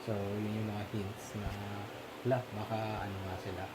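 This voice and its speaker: A man's voice: fairly low pitch, little pitch movement, normal speed, very noisy. A man with a Filipino accent chatting casually about film, often searching for words as he switches between two languages. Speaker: movie discussion host. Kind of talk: casual film discussion in Tagalog-English mix.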